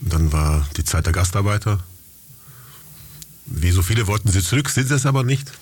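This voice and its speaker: A fairly low, husky male voice. A man from Stuttgart talks in an easygoing way as a guest and tells stories about himself with a wink. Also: animated, brisk. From Germany, Stuttgart. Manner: easygoing, self-deprecating storytelling. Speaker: guitar player, guest. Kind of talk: casual interview chat.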